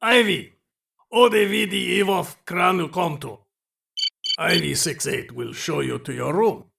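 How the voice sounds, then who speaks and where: A man voicing a towering, genteel yet sinister reptilian alien host in an audio drama, formal and theatrical, with a gravelly voice. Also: high voice, noisy background, exaggerated voice. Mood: gracious. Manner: formal, courtly, deliberate, theatrical. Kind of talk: space adventure audio drama acting.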